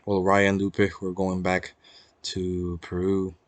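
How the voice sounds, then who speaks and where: A young man with a Peruvian accent speaks in a fairly low voice, recorded close and dry. He rambles reflectively in a casual personal vlog, pausing and hesitating as he searches for words, with some easy swearing. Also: monotone, no room sound. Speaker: vlogger.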